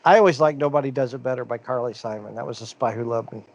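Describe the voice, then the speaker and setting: A man's voice: mid-range voice, fast. A middle-aged man from Ohio co-hosting a casual podcast, telling stories with rising bursts and mumbled asides. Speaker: podcast co-host. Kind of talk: casual chat.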